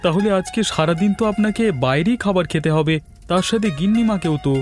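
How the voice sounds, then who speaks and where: A man's voice: high voice, lively intonation, fairly quick. A man voicing a polite, eager-to-please village cake seller in a cartoon fairy tale. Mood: obliging, cheerful. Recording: music under the voice.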